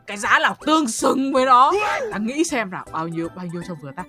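A sly old fairy man put on by a woman, chuckling and drawing out his words in a high, swooping voice. Music plays underneath. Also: very animated, big-room sound. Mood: scheming. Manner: slow, drawn-out, chuckling. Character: old male fairy, teasing and greedy.

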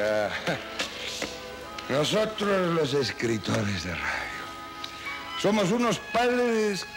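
Guy doing rambling theatrical writer monologue, animated, echoey old film sound. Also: fairly high pitch. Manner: rambling, dramatic, repetitive. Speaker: radio writer.

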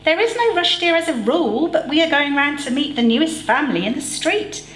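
A woman voicing a brisk, bossy mother in a storybook reading, sing-song and firm. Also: sits high, animated, a bit bright, reverberant, voice pushed up. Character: brisk, bossy British mum.

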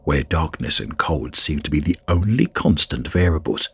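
A man reading a scripted documentary narration in a grave, measured way. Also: a bass voice, very animated, creaky, a little hiss or hum. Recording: faint music bed under voice-over.

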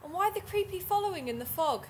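An alarmed female investigator in an audio drama. Her high voice stammers and challenges with suspicion, over faint ambient noise. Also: expressive, fast talker. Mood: alarmed, suspicious.

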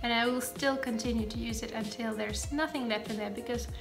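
A woman's voice: on the high side, fairly even intonation, fairly quick, music in the background. A woman in her thirties, Ukrainian-born with Russian as her first language, speaking English with a light British tinge, chatting through her skincare routine with lots of ums and asides. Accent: light British-tinged English over a Russian and German background. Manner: chatty, rambling, frequent ums and self-corrections. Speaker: beauty vlogger. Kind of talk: chatty get-ready-with-me monologue. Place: bedroom at home, indoors.

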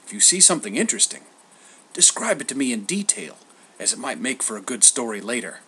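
A cantankerous old storybook poet, performed by a man. He blusters and grumbles gruffly, his pitch leaping about in a very animated way. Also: fairly quick, a little hiss or hum. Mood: wry warning. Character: cranky, blustering old male poet with a staff.

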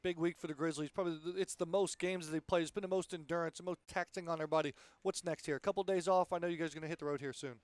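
Man, sportscast interviewer, rapid delivery, crisp clear high voice, recap and question style. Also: fairly even intonation, dry recording.

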